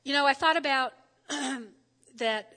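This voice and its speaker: A woman pastor with a New Jersey accent, preaching a sermon in a lively, conversational way and often checking in with "all right?". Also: high voice, slow. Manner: lively, spells words out, frequent "all right?" check-ins. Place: church sanctuary. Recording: long hall reverb.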